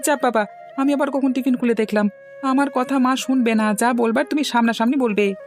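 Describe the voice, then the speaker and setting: A woman's voice: on the high side, steady tone, fairly quick, darkish tone, faint music behind, natural voice. A woman voicing a young village schoolboy in a cartoon, helpful and plainspoken but prone to grumbling. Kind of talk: cartoon dialogue acting.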